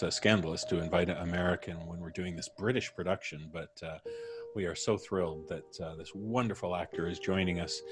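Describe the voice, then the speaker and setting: A man with a fairly low voice acts as host, speaking casually and with playful wryness. Music plays clearly behind his introductions and banter. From Canada. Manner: jovial, playfully wry.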